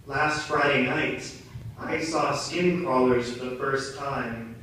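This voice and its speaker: A young adult man performing a dramatic spoken-word monologue in a theatrical, deliberate, poetic delivery. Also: mid-range voice, fairly even intonation, a bit bright. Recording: very echoey, distant mic, noisy room.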